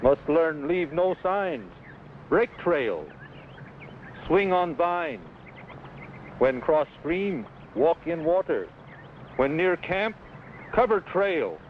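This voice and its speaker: Slow-talking Native tracker, halting speech. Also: male voice, on the high side, big pitch swings, full character voice. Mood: instructive. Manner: halting, clipped broken English. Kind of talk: 1950s radio adventure acting. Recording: dull lo-fi old radio, faint noise.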